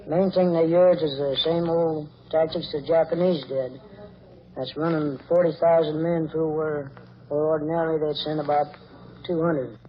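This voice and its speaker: A man with a somewhat high voice gives a soldier's plain, unscripted account. The field recording is dull, with faint noise. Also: fairly even intonation, normal speed. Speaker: soldier giving eyewitness account.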